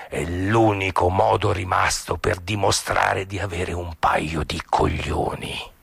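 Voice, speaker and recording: An actor playing a blunt, sardonic outsider in a stage drama, mocking a married couple with theatrical, drawn-out irony. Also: male voice, low-pitched, gravelly, sharp tone, breathy edge, no room sound, full character voice. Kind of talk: stage monologue acting.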